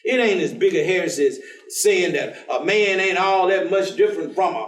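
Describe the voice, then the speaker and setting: An actor in a staged reading playing a devout, folksy, teasing man who talks in a colloquial, drawn-out way. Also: high voice, natural voice. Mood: earnest, preaching. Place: indoor theatre stage. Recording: roomy theatre, distant microphone.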